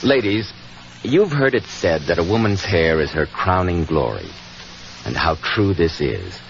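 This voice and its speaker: A man with an American accent announcing in 1940s radio style, polished and emphatic like a practised pitchman. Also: very animated, measured pace, gravelly, a little hiss or hum. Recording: muffled 1940s radio broadcast with music.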